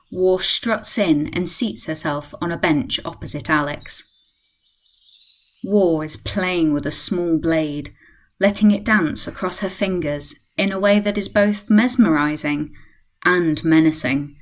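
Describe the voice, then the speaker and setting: A woman reading scripted scene narration as the narrator of a radio play. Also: on the low side.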